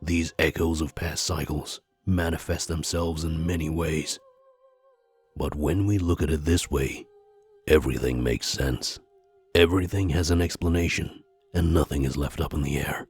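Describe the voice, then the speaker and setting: An actor playing a solemn, conspiratorial mystic seer in a visual novel game, speaking gravely, deliberately and formally. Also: male voice, rumbling low, steady tone, a little mellow, exaggerated voice. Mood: solemn, persuasive. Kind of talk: scripted visual novel character dialogue. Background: background music.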